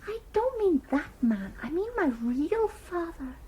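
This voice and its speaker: A woman's voice: extremely high pitch, big pitch swings, a little slow, darkish tone, slight background noise, full character voice. An actress playing an insistent, outspoken little girl who is possessive of her mother, in an audio drama. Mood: hushed, curious.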